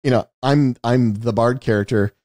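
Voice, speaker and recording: A man's voice: medium pitch, normal speed, a little mellow, dry recording. A man with a mid-range voice chats casually as a podcast co-host about films. The sound is dry and close, and he tells things like an informal storyteller, slipping into little acted-out quotes. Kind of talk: casual film discussion chat.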